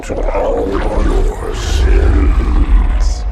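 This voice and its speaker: An actor voicing a colossal, ancient hive-mind monster in a video game, brooding and menacing, in slow ominous pronouncements with a growling voice. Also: male voice, distant mic, heavy background noise. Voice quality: gravelly, growling. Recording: loud music behind.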